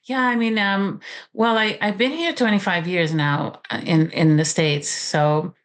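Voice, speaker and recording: Romanian-accented woman in her forties, low voice, halting, pausing, author guest. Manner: halting, many "um"s and restarts. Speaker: author and college teacher. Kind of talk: interview guest talk.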